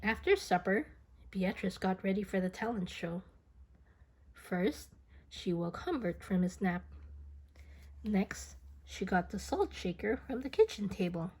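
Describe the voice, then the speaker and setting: Female children's read-aloud narrator: unhurried, expressive, swooping pitch, dramatic touches. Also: husky. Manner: expressive, dramatic, with whispers and playful sound effects. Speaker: picture book reader.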